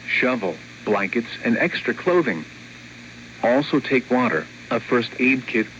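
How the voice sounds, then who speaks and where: A synthetic man's voice reads a scripted weather alert over the radio in a formal, measured, impersonal way. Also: mid-range voice, fairly bright tone. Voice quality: synthetic text-to-speech voice. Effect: radio broadcast. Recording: dull lo-fi weather radio, clear static noise.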